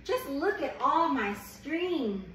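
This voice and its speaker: A woman's voice: high-pitched, lively intonation, slow pace. A woman reading and chatting to young children in an enthusiastic, encouraging manner, often repeating words for them. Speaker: host of a children's read-aloud chat. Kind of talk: picture-book read-aloud and chat for young children. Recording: echoey noisy room, distant mic.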